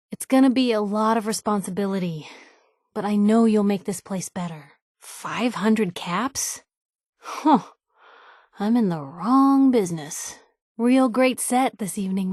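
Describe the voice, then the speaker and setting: A woman's voice: medium pitch, unhurried, a little mellow, no echo, studio quality, close to an everyday voice. An actress playing a wry, plucky young woman reporter, an outspoken and loyal video game companion with a sardonic, conversational delivery. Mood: sardonic, dry.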